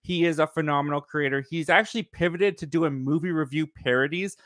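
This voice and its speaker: Mid-twenties male host for a casual live chat; upbeat, filler-heavy, a touch high, dry home-studio sound. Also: a bit bright, no room sound. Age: 20s. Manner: chatty, quick and upbeat, with frequent fillers. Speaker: livestream host. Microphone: boom mic in front of face.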